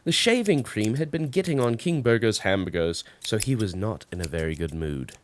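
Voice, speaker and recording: Very animated male storybook narrator. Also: lowish voice, normal speed, slight background noise. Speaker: storybook reader. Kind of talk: expressive children's read-aloud narration.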